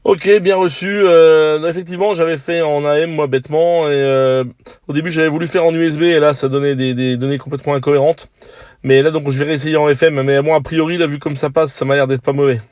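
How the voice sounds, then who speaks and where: A man talking casually as an amateur radio operator, in a measured, technical way with hesitant pauses. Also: a little high, steady tone, a little mellow, no room sound, studio quality. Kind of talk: casual two-way radio chat. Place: on a hilltop in the countryside.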